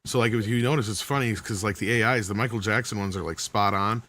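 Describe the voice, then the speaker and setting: Low-voiced man chatting on a podcast. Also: fast talker, fairly bright tone. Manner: rambling, thinks aloud. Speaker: podcast panelist. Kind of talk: casual panel chat.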